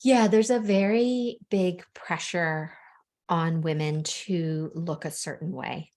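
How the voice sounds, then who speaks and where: A woman's voice: lowish voice, fairly even intonation, slow, a little mellow. A young adult woman from the Bahamas, a spiritual coach, speaking openly and earnestly in an interview, with frequent "like" fillers. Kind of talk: candid interview conversation.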